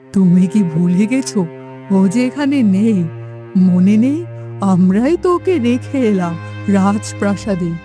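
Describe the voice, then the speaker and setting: নারীর কণ্ঠে কোমল, সান্ত্বনাময়ী কাঠুরে বউ. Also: female voice, mid-range voice, lively intonation, a little slow, warm tone, faint music behind, close to an everyday voice. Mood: consoling. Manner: soothing, earnest. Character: gentle, consoling middle-aged woodcutter's wife. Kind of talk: cartoon fairytale acting.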